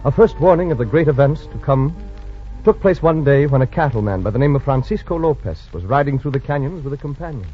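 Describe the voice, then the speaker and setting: A man's voice: medium pitch, expressive, fast. A man narrating a scripted story in a dramatic 1940s radio style. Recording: dull vintage radio recording with music.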